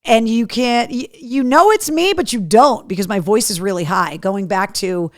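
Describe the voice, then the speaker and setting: A middle-aged woman, radio and podcast host, running through concert and event announcements in an upbeat, chatty way with frequent ums. Her voice has grown deeper and worn-in from years of talking. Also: moderate pace, dry recording, clean studio sound. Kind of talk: casual live-show announcements.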